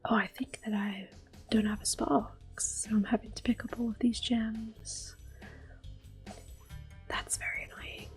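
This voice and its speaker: A woman giving gentle, soft-spoken ASMR gameplay commentary in a breathy, unhurried whisper. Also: a little high, steady tone, faint music behind. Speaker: gameplay host.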